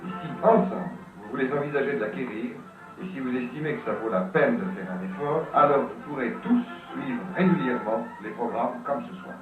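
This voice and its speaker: A man's voice: medium pitch, a little fast, phone-quality sound, over music. A man addressing villagers at a meeting in a persuasive public speech. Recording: very echoey old film soundtrack, distant.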